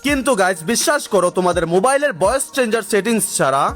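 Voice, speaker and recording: Guy doing a game tutorial, really high voice, talks quick, music under it. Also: lively intonation, a bit bright. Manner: direct address, instructional. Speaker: gaming tutorial host. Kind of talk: energetic scripted tutorial narration.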